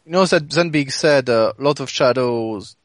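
A man's voice: mid-range voice, unhurried, no echo. A man co-hosting a casual podcast, talking hesitantly and often dropping in "you know". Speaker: podcast co-host. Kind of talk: casual chat.

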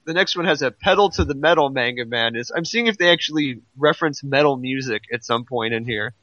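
A man's voice: medium pitch, animated, brisk, sharp tone, no room sound. A man co-hosting a podcast in casual chat, on a dull, lo-fi voice track. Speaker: podcast co-host.

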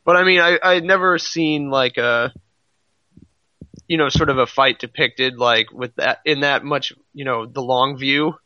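Bright-voiced man, slightly dull dry recording. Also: medium pitch, unhurried, no echo. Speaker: podcast co-host. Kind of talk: casual discussion of manga.

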